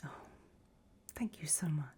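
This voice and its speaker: A woman's voice: a little slow, close to an everyday voice. A woman playing an elegant, macabre gothic matriarch in an ASMR roleplay, whispering breathily in a languid, gracious manner. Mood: gracious, fond. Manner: languid, gracious, soft-spoken.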